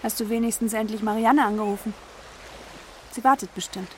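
A woman's voice: a little high, fairly even intonation, fairly quick, darkish tone, slight background noise, lightly acted. An actress playing an exasperated middle-aged wife and mother in a naturalistic radio drama, curt and reproachful. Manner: curt, questioning.

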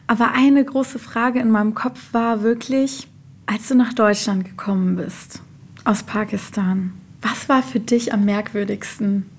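A woman's voice: medium pitch, fairly even intonation, a little slow. A woman hosting an interview, moving between conversational questions and scripted narration. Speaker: host and interviewer.

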